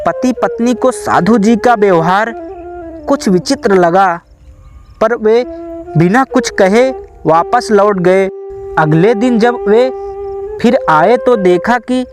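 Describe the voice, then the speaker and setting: A man narrating a scripted moral tale in a measured, deliberate way, phrase by phrase. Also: high-pitched, expressive, over music. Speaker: storyteller.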